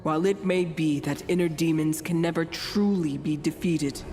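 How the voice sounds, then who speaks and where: An actress playing a wistful, playful fortune-telling mystic in a video game, with a mysterious, portentous delivery that slips into chatty asides. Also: female voice, low voice, moderate pace, dark tone, slight background noise, lightly acted. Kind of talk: theatrical scripted game narration.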